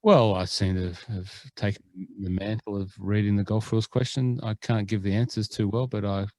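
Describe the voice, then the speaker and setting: A man's voice: lowish voice, fairly even intonation, fairly quick, darkish tone, no echo. A man co-hosting a golf rules podcast, chatting casually in a jokey, rambling way. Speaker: podcast co-host.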